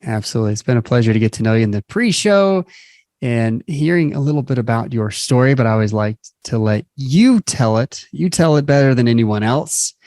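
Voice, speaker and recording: A man's voice: medium pitch, lively intonation, brisk, a little mellow, dry recording, studio quality. A man hosting an interview show, introducing and addressing his guest in a conversational presenter's style. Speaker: show host.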